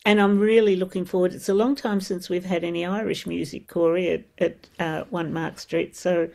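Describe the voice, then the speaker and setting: A woman hosting a radio music show, warmly enthusiastic as she talks with her guests. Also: lowish voice, little pitch movement, moderate pace, gravelly. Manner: enthusiastic, encouraging. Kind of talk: radio interview.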